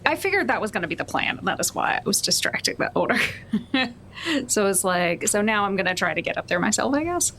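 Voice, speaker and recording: A woman's voice: medium pitch, big pitch swings, fast, pushed and bright, slight background noise. A woman playing a tabletop roleplaying game, chatting casually in a playful, joking manner.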